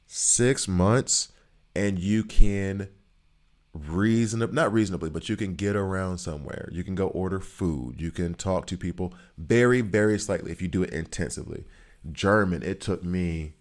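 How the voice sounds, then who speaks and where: A man's voice: sits low, darkish tone. An American man in his thirties, chatting casually with viewers while he plays, rambling and joking as he answers their questions. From United States.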